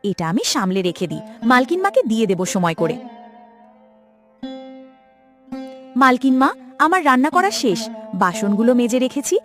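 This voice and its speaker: A woman's voice: on the high side, sing-song, quick pace, fairly bright tone, faint music behind. A woman voicing an earnest, protective young elder sister in an emotional cartoon story. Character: devoted, protective orphaned elder sister, young village girl. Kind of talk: Bengali cartoon moral-story voice acting.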